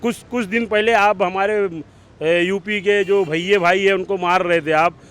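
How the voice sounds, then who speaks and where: A man with a high, clean-sounding voice gives his view in a street interview. He is blunt and scolding, repeating words as he drives his point home. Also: fairly even intonation, unhurried, a bit bright, clean tone. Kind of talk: street interview, opinion on politics. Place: outdoors in an urban area.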